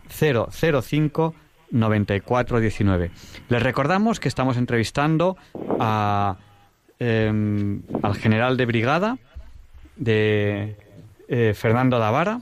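A man from Catalonia hosts a live call-in radio show. He sounds friendly and relaxed, with small hesitant restarts. His voice sits at a middle pitch, clear into the studio microphone. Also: slight background noise. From Catalonia, Spain. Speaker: radio host.

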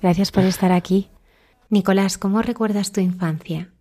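A woman's voice: medium pitch, steady tone, normal speed, mellow. A woman hosting an interview, with prepared introductions and short questions. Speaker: interviewer, programme host.

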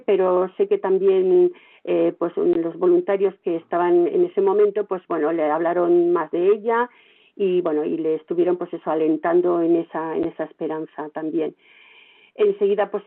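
A woman with a Spain Spanish accent giving a devout, heartfelt, hesitant testimony in a radio interview, over a thin phone-like line. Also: medium pitch. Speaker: radio station volunteer being interviewed.

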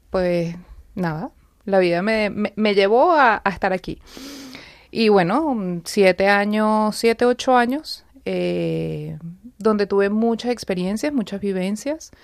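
An earnest woman tells her own story as a guest on a radio program. Her voice sits at an ordinary pitch and comes across dry and close, with no room around it. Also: dry recording. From Venezuela. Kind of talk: personal testimony in a radio interview. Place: radio studio.

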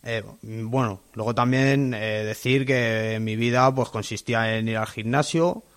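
Man, 20s, guest giving a testimony, plain and hesitant, steady medium pitch. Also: measured pace. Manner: plain and hesitant, lots of fillers. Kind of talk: personal testimony.

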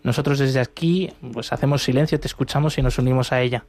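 A man's voice: mid-range voice, steady tone, fast. A man hosting a religious radio interview, speaking with lots of hesitations and fillers. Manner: warm, faltering, many fillers. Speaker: radio host.

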